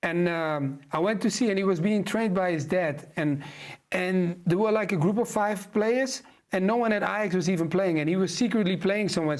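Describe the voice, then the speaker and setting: A man from the Netherlands with a Dutch accent, giving lively football analysis on a studio panel, laughing easily. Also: high-pitched. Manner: animated, laughs easily, repeats himself for emphasis. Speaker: football pundit.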